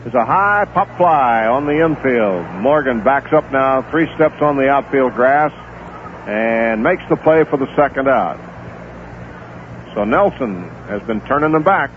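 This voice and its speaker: A man calling a baseball game play-by-play on radio, steady and unhurried, filling the gaps between pitches with stats and notes on the players. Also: a little hiss or hum. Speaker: play-by-play announcer. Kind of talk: live baseball radio commentary. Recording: tinny 1970s AM radio broadcast.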